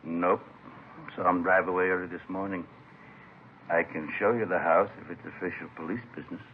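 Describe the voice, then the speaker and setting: A hissy, narrow vintage recording holds a folksy American landlord with a low voice. He is laconic and offhand, speaking in short plain phrases in a 1950s radio drama. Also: fairly even intonation, moderate pace. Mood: offhand, helpful. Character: folksy, incurious longtime local landlord, helpful but laconic.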